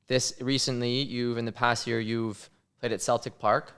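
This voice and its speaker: A man's voice: mid-range voice, level tone, moderate pace, fairly bright tone, clear, resonant tone. A man hosting a casual interview, giving short, informal prompts with some slang. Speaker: interview host.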